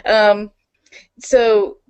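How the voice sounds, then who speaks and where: A woman's voice: mid-range voice, little pitch movement, very slow, smooth, lo-fi sound. A woman hosting a podcast and interviewing in a casual, conversational way. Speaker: podcast host.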